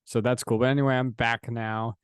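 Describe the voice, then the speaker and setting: Playful man with a slightly rough voice. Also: mid-range voice, little pitch movement, a little fast, no echo, clean studio sound. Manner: playful, joking. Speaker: podcast co-host. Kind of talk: casual podcast chat.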